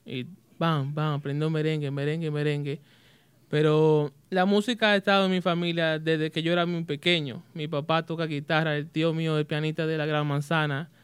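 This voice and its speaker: A man's voice: a little high, little pitch movement, normal speed, smooth, no echo. A man, a musician guest on a radio show, answering in hesitant Spanish with restarts and bits of mixed in. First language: English. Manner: hesitant, restarts words, mixes in English. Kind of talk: casual radio interview. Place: radio studio.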